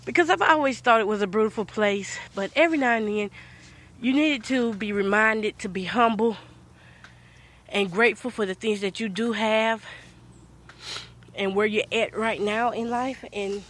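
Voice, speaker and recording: A woman's voice: mid-range voice, moderate pace. An older woman with a congested voice from a cold, hosting a podcast and casually telling her viewers a story in a chatty, sing-song way. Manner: chatty, animated, sing-song Southern talk. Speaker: podcast host. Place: rural home.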